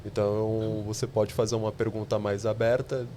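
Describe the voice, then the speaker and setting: A man's voice: fairly low pitch, level tone, moderate pace, warm tone, a little hiss or hum. A man, a podcast guest explaining technical ideas step by step with examples.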